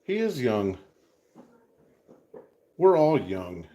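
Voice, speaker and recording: A man's voice: mid-range voice, sing-song, drawn-out words, dark tone, lo-fi sound. Man, 40s, West Texas drawl, easygoing co-host who rambles and restarts, casual kitchen talk. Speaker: livestream co-host. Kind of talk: casual kitchen chat on a livestream. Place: home kitchen.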